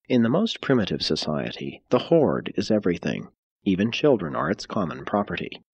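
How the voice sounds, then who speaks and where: A man reading a formal scripted audiobook as narrator, with measured, deliberate and emphatic phrasing. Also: fairly low pitch, darkish tone, slightly rough, dry recording, muffled recording.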